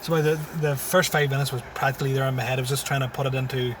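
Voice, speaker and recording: A man's voice: mid-range voice, flat delivery, racing, recorded from a distance, slight background noise. A man in his thirties with a Tyrone accent, chatting casually in a car as a comedian guest and chuckling often. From Syria, raised in Tyrone. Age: 30s. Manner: laid-back, chuckles often. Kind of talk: casual interview chat. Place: inside a parked car. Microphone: phone.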